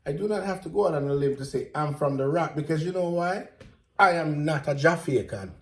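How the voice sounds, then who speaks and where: A man's voice: fairly high pitch, brisk, roomy sound. A man with a Jamaican accent, co-hosting in playful banter, teasing and mock-serious, slipping into patois. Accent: Jamaican, switching into patois. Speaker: co-host.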